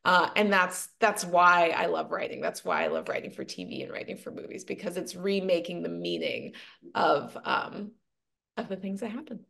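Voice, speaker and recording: A woman with a low voice and a gravelly fry under her words talks as an interview guest. She reflects slowly, searching for the right phrase and restarting her sentences as she goes. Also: big pitch swings, sharp tone. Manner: thoughtful, searching for words, frequent ums and restarts. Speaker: guest, actor and TV writer.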